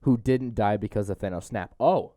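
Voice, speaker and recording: A man's voice: lowish voice, moderate pace, warm tone, dry recording, clean studio sound. A man hosting a podcast, chatting casually about a movie as he works through a plot summary. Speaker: podcast host.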